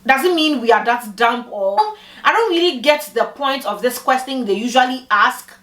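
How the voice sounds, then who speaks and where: A young woman from Ghana telling personal stories to camera in a lively way, acting out what other people said. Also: normal speed. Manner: animated, acts out quoted people with big pitch jumps. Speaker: vlogger. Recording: echoey room, voice from a distance.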